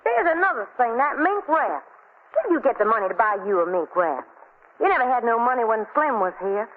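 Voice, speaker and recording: An actress playing an outspoken, disapproving housemaid in a 1940s radio drama, folksy and nagging. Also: female voice, on the high side, big pitch swings, brisk. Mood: scolding. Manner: folksy, scolding, ungrammatical. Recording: tinny 1940s radio recording.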